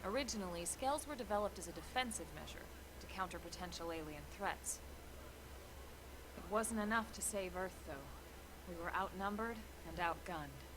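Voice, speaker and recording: A woman playing a serious military officer in a video game, explaining things in a measured, businesslike manner. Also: lowish voice, expressive, noisy background, natural voice. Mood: composed. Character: composed, serious adult female military officer guiding a recruit. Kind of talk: English-language video game voice acting.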